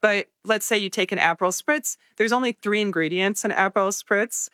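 A woman's voice: medium pitch, brisk, fairly bright tone, no room sound, clean studio sound. A woman from Paris, a guest expert explaining things step by step in casual interview talk. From Paris, France.

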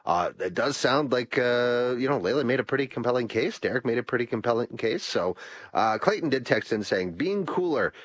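A man's voice: medium pitch, brisk, slightly rough, no room sound. A man hosting a call-in radio talk show, chatty and full of "uh" fillers. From Canada. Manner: chatty, many uh fillers, lively delivery.

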